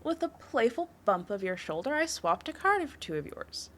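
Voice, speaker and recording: A woman's voice: on the high side, sing-song, moderate pace, fairly bright tone. A woman playing a confident, flirtatious criminal suspect in an audio roleplay, teasing the listener with a coy, playful delivery. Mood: sly, teasing.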